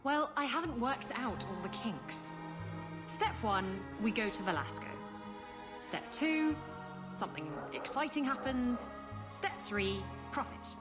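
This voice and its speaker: A woman's voice: on the high side, expressive, unhurried, over loud music. An actress playing a roguish, scheming adventurer woman in a video game, with a playful, theatrical manner.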